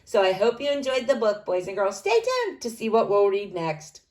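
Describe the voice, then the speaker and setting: A woman reading a storybook aloud to children in an expressive, animated narrating style. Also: mid-range voice, normal speed. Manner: addresses young listeners directly, animated.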